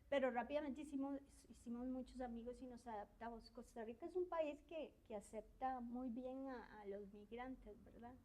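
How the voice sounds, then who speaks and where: A middle-aged woman from Argentina and Uruguay, a university academic reflecting in an interview, shy and soft-spoken with frequent tag questions and hesitations. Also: a little high, rough recording.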